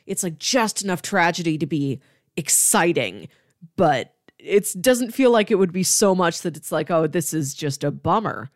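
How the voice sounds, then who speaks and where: A woman's voice: lowish voice, expressive, normal speed, no room sound, studio quality. A woman co-hosting a podcast, talking in a casual, conversational way. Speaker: podcast co-host. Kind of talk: casual chat.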